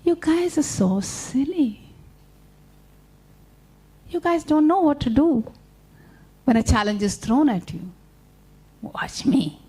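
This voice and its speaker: Woman playing a sly, high-pitched trickster spider. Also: animated, slow pace, pauses often, dark tone. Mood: smug, boastful. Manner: stammering, feigning helplessness. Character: cunning spider trickster, male, smug and falsely meek.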